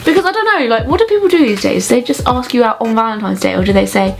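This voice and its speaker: A woman's voice: a little high, lively intonation, quick pace, creaky, over a noisy crowd. A young woman, chatting casually and informally as she gives advice to camera, rambling a little and acting out quotes. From England. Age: young adult. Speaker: vlogger. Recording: music playing behind the voice.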